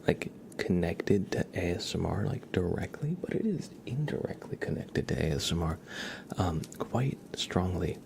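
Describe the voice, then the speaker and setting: A man hosting an ASMR livestream, chatting casually in a soft, breathy, often whispered voice with slow, halting, rambling delivery. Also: low-pitched, very animated. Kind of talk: ASMR, casual chat with viewers. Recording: clear background noise.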